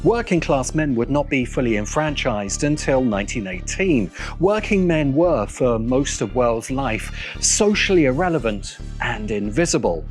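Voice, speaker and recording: A man delivering scripted video essay narration in an emphatic manner, pausing deliberately for effect. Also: mid-range voice, animated. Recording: music behind the voice. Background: music.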